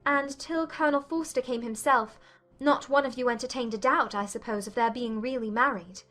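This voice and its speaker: A woman playing an earnest, probing young Regency gentlewoman with an urgent manner in a dramatized audiobook. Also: a little high, moderate pace, faint music behind, close to an everyday voice. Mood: anxious, probing.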